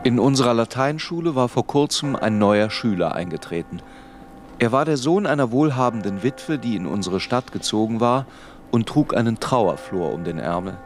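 An actor playing a grown man who recalls his tormented boyhood in grave, measured literary narration for a radio play. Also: mid-range voice, lively intonation, slight background noise, close to an everyday voice. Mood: reflective.

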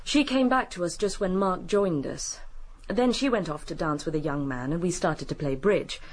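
An actress playing a composed, genteel, guarded British widow in a radio drama. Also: female voice, fairly low pitch, moderate pace, natural voice. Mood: guarded, measured.

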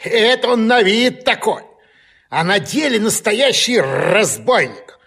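Husky, high-pitched grumbling rook. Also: male voice, lively intonation, a bit bright, heavily acted. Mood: grumbling, warning. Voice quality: raspy, cawing. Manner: scolding, emphatic, drawn-out. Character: grumbling know-it-all male rook bird. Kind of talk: children's audio play.

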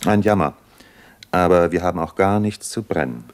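A man playing an educated, mild and wryly resigned gentleman in a 1950s radio play, courteous and measured. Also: deep voice, close to an everyday voice. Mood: wry resignation.

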